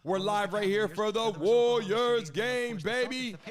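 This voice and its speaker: A man hosting a livestream sports show, excitedly giving slangy shout-outs and chatting casually with his viewers. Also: high-pitched, takes it slowly, crowd noise. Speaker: live stream sports show host. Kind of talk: loud casual livestream hype chat. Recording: loud music behind the voice. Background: loud music.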